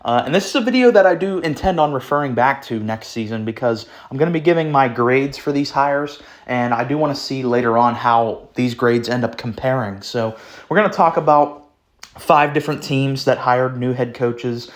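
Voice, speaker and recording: Young male sports vlogger, sick, middle pitch, blunt and rambling with frequent throat clearing. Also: normal speed. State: sick, voice sounds off. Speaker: sports channel host. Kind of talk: casual opinion talk on football.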